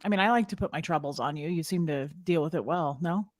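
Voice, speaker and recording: A woman's voice: low voice, fast talker, no room sound, studio quality. A woman, co-hosting a livestream, chatting playfully and greeting viewers. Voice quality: slightly raspy. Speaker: livestream co-host. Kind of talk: casual chat reading out viewer names.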